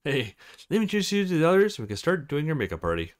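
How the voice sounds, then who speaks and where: A man voicing a friendly, brisk young woman who works as a makeup artist in a visual novel game. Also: a little high, huge pitch swings, voice pushed up.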